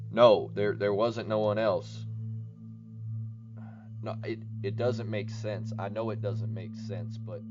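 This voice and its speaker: A man playing a plainspoken small-town police officer in an audio horror drama, speaking in a measured way. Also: lowish voice. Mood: baffled, uneasy. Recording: dull lo-fi audio, faint noise.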